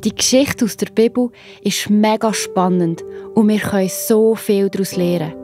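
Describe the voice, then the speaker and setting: Female children's storyteller: Swiss German accent, soft dark tone, encouraging direct address, light music bed. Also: mid-range voice, moderate pace. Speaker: narrator.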